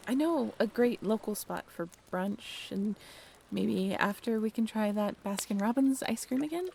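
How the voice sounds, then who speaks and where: A woman playing a shy, earnest half-mermaid in an intimate audio roleplay, hesitant and soft-spoken, often slipping into breathy whispers. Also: medium pitch, unhurried, a little hiss or hum. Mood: playful, teasing.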